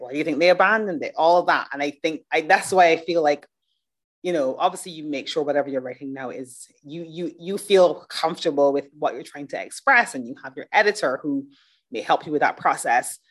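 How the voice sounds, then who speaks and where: A woman's voice: sits low, animated, brisk. A middle-aged woman from Barbados hosting a podcast interview, chatting casually and thinking aloud with restarts. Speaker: podcast host.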